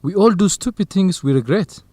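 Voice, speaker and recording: An actor playing a supportive, curious young male friend in an educational radio drama, expressive and reactive. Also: high voice, moderate pace, dry recording. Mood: sympathetic.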